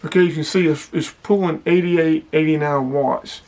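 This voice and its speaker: A man's voice: on the high side, steady tone, moderate pace, darkish tone. A man casually demonstrating gear as a hobby vlogger, explaining things in a plainspoken way.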